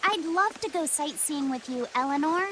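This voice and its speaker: A woman voicing a gentle, curious young boy in a game, earnest and a little hesitant. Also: sits high, expressive, normal speed, slight background noise, poor audio.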